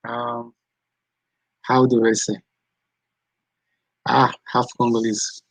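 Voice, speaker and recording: A man's voice: mid-range voice, level tone, slow, creaky, no room sound, low-quality recording. A man from Rwanda, hosting a live stream, chatting casually and answering viewers' comments as they come in. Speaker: channel host.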